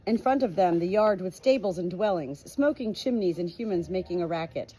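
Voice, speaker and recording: A woman reading a storybook aloud in an expressive amateur style, with casual asides, recorded on a phone at home. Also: lowish voice, a little fast, a little hiss or hum, rough recording. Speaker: amateur book reader, channel host.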